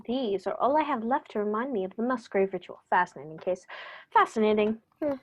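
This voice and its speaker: A woman's voice: a little high, animated, fairly quick, muffled recording. A woman playing an eccentric, untidy Victorian male detective with an airy, teasing manner in a radio play. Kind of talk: amateur radio play acting.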